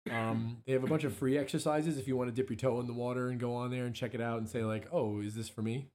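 A hoarse man, a musician guest, chatting casually on a local radio show with lots of ums and restarts. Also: mid-range voice, fairly even intonation, fast talker, a little mellow. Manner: rambling, many ums and restarts.

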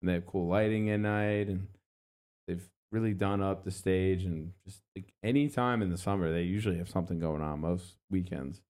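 A man's voice: low voice, fairly even intonation, normal speed, a little mellow. A man hosting a local radio show, chatting casually and plugging upcoming events in an easygoing way. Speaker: radio host.

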